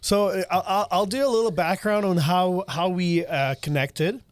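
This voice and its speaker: A man from Slovakia with a Slovak accent, hosting a casual podcast chat, laughing often and talking in quick bursts. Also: sits high, slight background noise. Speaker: podcast host.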